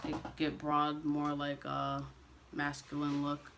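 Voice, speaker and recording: A young man chatting casually to camera as a vlogger, relaxed and loose, with frequent ums and restarts. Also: fairly high pitch, slow pace, creaky, a little hiss or hum.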